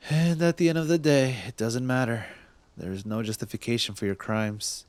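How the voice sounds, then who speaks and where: A man playing a smug cop secretly smitten with a thief in an intimate ASMR roleplay, teasing and halting, sometimes dropping to a breathy murmur. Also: mid-range voice, fairly even intonation, no room sound, close to an everyday voice. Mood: probing, softening. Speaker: voice actor in audio roleplay. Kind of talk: ASMR roleplay acting, addressed to the listener.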